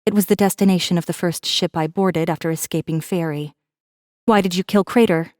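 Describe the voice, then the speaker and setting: Low, mellow woman, acting a guarded rebel. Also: steady tone, fairly quick, no echo, clean studio sound, natural voice. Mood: wary, defiant. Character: guarded, defiant young woman, human rebel messenger. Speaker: audiobook narrator. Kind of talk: first-person scripted narration, audiobook.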